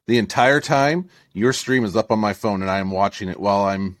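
A man's voice: fairly low pitch, brisk, no echo. A man co-hosting a podcast from his home streaming setup, teasing the others with dry jokes. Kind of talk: casual podcast chat.

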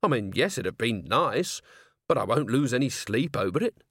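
A man voicing a wry, world-weary adult werewolf woman with a dry, brisk, guarded manner in a storybook reading. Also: medium pitch, very animated, a bit bright, slightly rough, dry recording, heavily acted. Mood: stunned, indignant.

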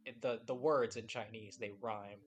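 A man's voice: on the low side, steady tone, moderate pace, faint music behind. A man hosting a podcast in a casual chat, rambling and playful with lots of restarts. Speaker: podcast host.

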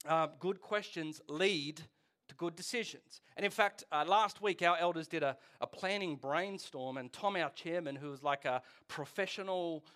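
A man's voice: sits high, fairly bright tone. A man in his forties preaching a casual, joking sermon, often asking the congregation questions. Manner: chatty, jokey, asks the audience questions. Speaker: pastor. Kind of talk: conversational sermon.

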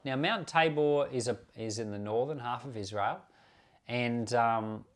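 A man teaches from the Bible in the manner of a pastor talking to listeners. His delivery is conversational and unscripted, correcting himself and stepping into small asides as he explains. His pitch sits in a medium range for a man. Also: unhurried. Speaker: pastor and Bible podcast host. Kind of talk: informal Bible commentary, teaching.

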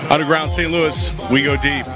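A man's voice: fairly high pitch, fairly even intonation, normal speed. A man talking in a short promotional clip. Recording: loud music over voice.

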